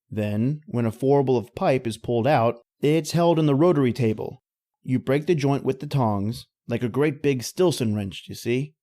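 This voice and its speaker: Radio horror drama role: male actor as folksy roughneck narrator, plain-spoken, easygoing, colloquial, mid-pitched. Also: warm tone, close to an everyday voice.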